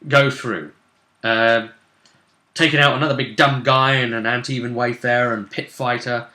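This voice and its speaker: Male game master, bright forceful voice, casual recap of tabletop play, echoey room. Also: medium pitch, fairly even intonation, normal speed. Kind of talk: casual chat, recounting game events. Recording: roomy, echoey sound.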